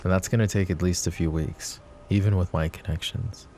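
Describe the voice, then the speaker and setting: A man playing a possessive, overprotective mafia boss in an intimate roleplay audio drama, with a low gravelly voice and a measured, commanding manner. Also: steady tone, darkish tone, soft background music, lightly acted. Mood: serious, measured. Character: possessive, overprotective male mafia boss, curt but caring. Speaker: voice actor.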